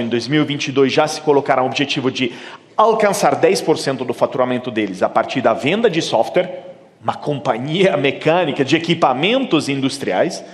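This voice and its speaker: A middle-aged man with an Italian accent, giving a conference keynote with animated storytelling, recorded with a stage microphone in a large hall. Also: on the high side. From Italy. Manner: animated storytelling, acting out quoted dialogue. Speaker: keynote speaker. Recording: long hall reverb.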